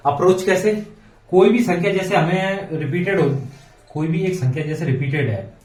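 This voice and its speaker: A man with a slightly high voice gives an informal maths lesson for exam students. He works step by step, repeating himself and checking in often. The recording is roomy and echoey, with him some way from the microphone. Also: normal speed, darkish tone, faint music behind. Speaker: maths teacher for exam preparation. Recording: echoey room, distant microphone.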